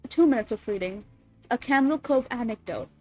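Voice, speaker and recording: A high-pitched woman with a cold, sniffling as she chats with her livestream viewers. She talks bubbly and loose, swears now and then, and reads creepy stories aloud. Soft music plays faintly behind her. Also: lively intonation, fairly quick. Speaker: streamer reading creepypasta. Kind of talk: casual livestream chat and reading aloud.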